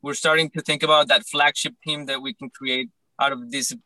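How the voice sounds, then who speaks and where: A man's voice: medium pitch, fairly even intonation, fairly quick, sharp tone, dry recording, poor audio. A young man from Colombia, founder of a club, telling his story at length in a casual panel chat with easy fillers. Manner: fluent, expansive storytelling with fillers.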